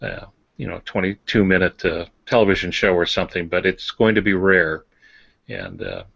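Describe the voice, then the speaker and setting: A man's voice: deep voice, moderate pace, gravelly, a bit bright, no echo, lo-fi sound. An older American man co-hosting a writing podcast, casually explaining with examples and asides. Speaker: podcast co-host on fiction writing. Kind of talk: casual instructive discussion.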